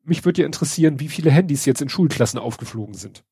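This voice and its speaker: Ein Mann mit Schnupfen, der in lockerem Plauderton und mit trockenem Sarkasmus über Neuigkeiten spricht, oft mit Füllwörtern. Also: male voice, on the high side, expressive, fast, mellow, breathy edge, dry recording. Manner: wry, sarcastic, hesitant with many fillers. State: stuffy nose from a cold. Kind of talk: casual news chat.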